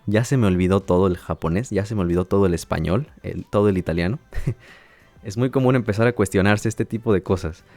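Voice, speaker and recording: A man with a lowish voice teaches in a calm, measured way and pauses to check that listeners follow. The sound is dry and close, with faint music in the background. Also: dry recording. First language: Spanish. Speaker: language teacher and podcast host. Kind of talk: educational podcast monologue.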